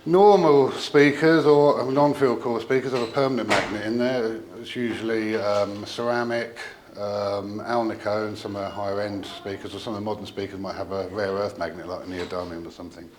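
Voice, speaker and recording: A man with a fairly low voice talks through technical equipment informally, as a company representative would. His delivery is halting, often pausing and trailing into asides. There is noticeable noise in the room behind him. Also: fairly even intonation. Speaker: audio company representative presenting a product. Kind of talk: informal technical product explanation.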